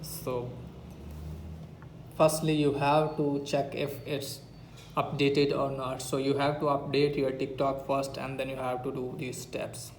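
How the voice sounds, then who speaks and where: A man's voice: mid-range voice, little pitch movement, a little slow. A man narrating a step-by-step phone app tutorial with repetitive instructional phrasing. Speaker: tech tutorial YouTuber. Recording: roomy echo, faint background noise.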